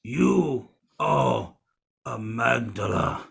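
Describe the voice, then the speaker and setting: A man playing a hungry, picky zombie in a comedic audio play, speaking slow broken English in a rough, gravelly moan. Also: medium pitch, expressive, heavily acted. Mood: hungry, dismissive. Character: hungry, picky, dim-talking male zombie.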